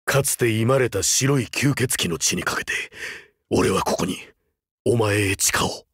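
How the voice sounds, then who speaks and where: A male actor playing a rugged older vampire hunter turned teacher in a game, wry, laconic and gruffly fatherly. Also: on the low side, a little slow, dry recording, clean studio sound. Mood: solemn vow. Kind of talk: Japanese mobile game character voice lines.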